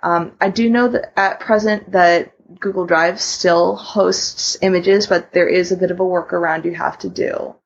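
A woman co-hosting a podcast, giving announcements and chatting casually in a husky voice. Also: fairly low pitch, fairly even intonation, a little slow, few pauses, highs cut off. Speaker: podcast host.

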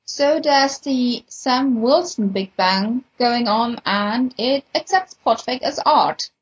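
A woman's voice: fairly high pitch, slow pace, slightly rough, dull audio. A woman co-hosting a podcast with casual announcements and chat. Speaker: podcast host.

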